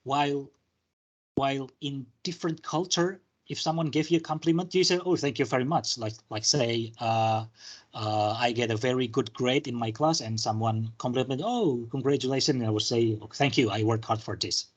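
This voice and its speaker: A man from Indonesia giving a loose, conversational university lecture, often pausing with fillers and restarting his sentences. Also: mid-range voice.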